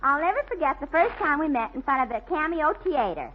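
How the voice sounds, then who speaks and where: A woman's voice: high-pitched, very animated, a little fast. An actress playing a sardonic, teasing woman in a 1940s radio comedy, needling with dry wisecracks. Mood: mocking. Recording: muffled, hissy 1940s radio broadcast.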